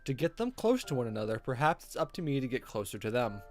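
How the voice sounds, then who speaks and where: A man's voice: medium pitch, very animated, fast. A man reading visual novel text aloud as a streamer, dropping in wry, joking, sweary casual asides. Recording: background music under voice. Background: music with guitar.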